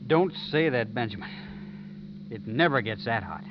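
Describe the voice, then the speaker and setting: A man's voice: medium pitch, expressive, moderate pace, noisy background, natural voice. Earnest young soldier, played by a man, vintage radio. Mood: tired, reflective. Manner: earnest, dramatic. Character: weary, emotional young soldier wrongly suspected of murder. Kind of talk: 1950s radio drama acting. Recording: muffled vintage radio recording with music.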